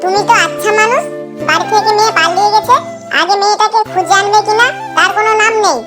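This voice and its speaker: A woman's voice: very high-pitched, fast. A woman playing an angry, dramatic village mother in a cartoon drama, complaining about her daughter. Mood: angry, scolding. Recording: music behind the voice.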